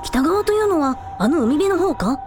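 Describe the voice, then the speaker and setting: High-pitched earnest young helper played by a woman. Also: very animated, normal speed, background noise. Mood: practical, polite. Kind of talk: radio drama acting.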